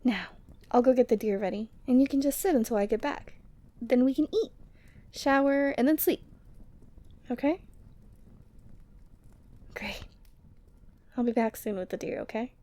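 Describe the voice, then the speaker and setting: A woman acts out a doting yeti girl for an ASMR roleplay. The voice sits fairly high for a woman. She is tender and teasing, coaxing softly with breathy sighs and a lonely fondness. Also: normal speed, long pauses, lightly acted. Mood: warm, chatty. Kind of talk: ASMR roleplay audio drama.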